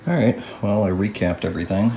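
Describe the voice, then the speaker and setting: A man narrating a casual hands-on restoration video as a hobbyist, musing aloud to himself in an unhurried way. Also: fairly low pitch, vocal fry, background noise.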